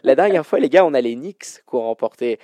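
A man's voice: medium pitch, lively intonation, fast, mellow, no room sound. A man hosting a basketball trivia quiz with casual, slangy banter. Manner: informal, colloquial slang. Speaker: quiz show host.

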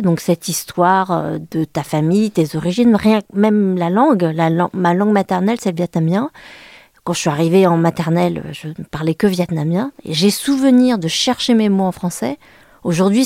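Woman in her forties, from France, hesitant and reflective, mellow lowish voice, dry close sound. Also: dry recording. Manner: hesitant, many fillers and restarts. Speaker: journalist and author guest. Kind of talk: reflective interview talk.

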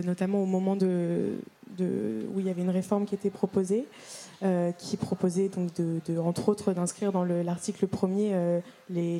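A woman's voice: medium pitch, mellow. A young woman moderating a conference, speaking casually through a handheld mic with many fillers and restarts. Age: young adult.

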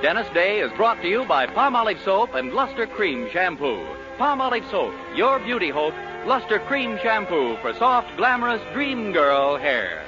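Casting: American male announcer, 1940s radio, high animated voice, emphatic sales pitch, dull vintage recording. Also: moderate pace, few pauses, over music. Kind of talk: 1940s radio announcing, scripted commercial and narration.

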